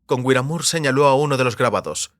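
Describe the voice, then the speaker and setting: A man's voice: medium pitch, fast, a bit bright, dry recording. A man reading scripted literary narration as an audiobook narrator.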